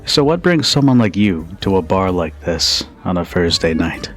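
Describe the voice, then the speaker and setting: A man playing a confident, protective bartender in an ASMR roleplay, teasing and flirty in an intimate, unhurried manner. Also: deep voice, sing-song, soft background music. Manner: teasing, unhurried, with soft chuckles and pauses. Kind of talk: ASMR roleplay, intimate second-person monologue.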